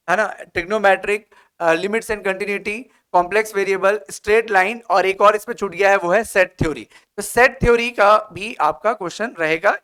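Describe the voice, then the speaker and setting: A man with an Indian accent and a high, clear, smooth voice gives an exam-prep lecture. He explains things step by step like a coaching teacher, instructing directly and often pausing on small check-in questions. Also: a bit bright. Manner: instructive, frequent check-in tags like "okay?" and "right?".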